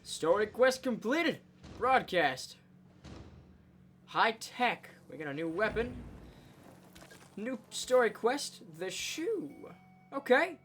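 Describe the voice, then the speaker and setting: A man's voice: high voice, very animated, slow, long pauses, fairly bright tone. A man giving casual let's play commentary in an offhand, dryly wry way. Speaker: gameplay video creator. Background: game music.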